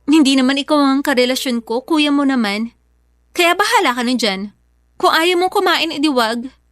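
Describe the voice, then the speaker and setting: A woman's voice: high-pitched, moderate pace, a bit bright. A woman playing a young mother back with her old boyfriend, placating yet stubborn, in conversational Filipino radio drama style with a Filipino accent. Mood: defiant, dismissive.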